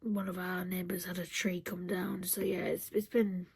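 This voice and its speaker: A woman vlogging at home early in the morning, talking softly and half-whispering in a croaky just-woken voice, rambling with fillers. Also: lowish voice, level tone, normal speed. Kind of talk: casual morning vlog chat.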